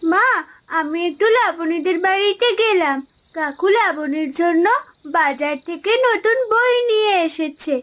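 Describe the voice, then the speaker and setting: A woman's voice: very high voice, a little slow, fairly bright tone. A woman voicing an eager, wistful little village schoolgirl with a childlike, pleading manner in a children's cartoon. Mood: curious, wistful.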